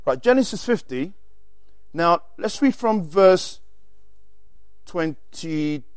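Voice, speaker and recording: Animated preacher with an accent. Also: male voice, fairly high pitch, takes it slowly, no room sound, clean studio sound. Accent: non-native English. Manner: animated, reads scripture aloud, self-correcting asides. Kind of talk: sermon teaching from scripture.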